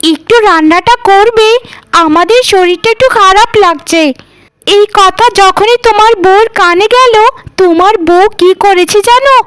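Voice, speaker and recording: A woman's voice: extremely high pitch, a bit bright, no echo. A woman voicing a sly, jealous, greedy sister-in-law in a theatrical cartoon melodrama. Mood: accusing. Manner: theatrical, conniving.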